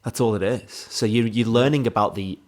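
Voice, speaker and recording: A man's voice: on the low side, a little fast, a little mellow. A man hosting a podcast, talking casually and reflectively while explaining his ideas at length. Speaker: podcast host.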